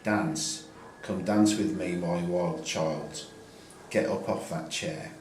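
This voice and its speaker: In an echoing space with clear background noise, a man recites verse in a very deep voice. He takes the poem one short line at a time. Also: steady tone, takes it slowly, darkish tone. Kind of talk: slow poetry recital. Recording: echoey, clearly noisy ambient recording.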